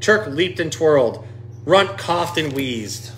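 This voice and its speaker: A man reading a children's picture book aloud in an animated, expressive storytelling style. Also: on the high side, slow, slight background noise. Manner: expressive, dramatic dialogue readings.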